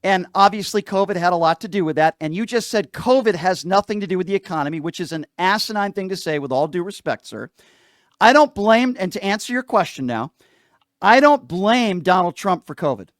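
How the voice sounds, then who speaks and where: A man's voice: high voice, animated, fairly quick, dry recording, studio quality. A man hosting a live call-in show, debating politics in an argumentative, insistent way and repeating phrases for emphasis.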